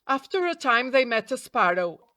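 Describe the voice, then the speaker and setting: A woman narrating a children's fairytale as a storyteller, reading in a deliberate, expressive storybook manner. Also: a little high, no room sound, clean studio sound. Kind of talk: scripted fairytale narration for English learners.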